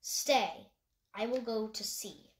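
A woman's voice: on the high side, takes it slowly, roomy sound. A woman voicing a protective adult male fox, a watchful father who gives plain instructions in a storybook. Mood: grave.

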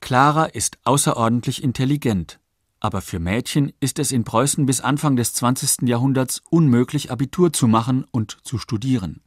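A man reading scripted radio documentary narration in a measured, articulate way. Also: medium pitch, no room sound, studio quality.

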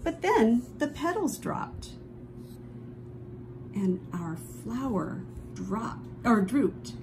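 A woman reading a children's picture book aloud expressively, with dramatic drawn-out emphasis on key phrases. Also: a little high, big pitch swings, noisy background. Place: indoors at a table, book held before camera.

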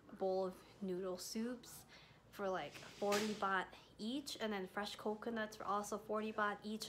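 A woman's voice: medium pitch, slight background noise. Chatty young woman vlogging in a hotel room. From Thai, raised in the US. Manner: rambling, heavy use of "like", soft and chatty. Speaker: travel vlogger. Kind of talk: casual vlog to camera. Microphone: phone camera at arm's length.

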